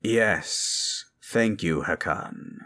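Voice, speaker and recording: A man voicing a grave, learned monstrous elder in a fantasy audio roleplay, speaking in a gravelly, husky voice with a measured, formal manner. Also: sits low, sharp tone, exaggerated voice. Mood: solemn, measured.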